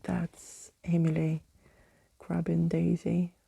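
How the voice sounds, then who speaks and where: A woman reads a bedtime story as ASMR in a very dark, mellow voice with heavy creak. She takes her time and often drops from soft speech into a whisper, close on the mic. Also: lowish voice, monotone, slow pace, a little hiss or hum. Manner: soft, hushed, lapsing into whispers. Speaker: storyteller reading a book to listeners. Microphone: close microphone.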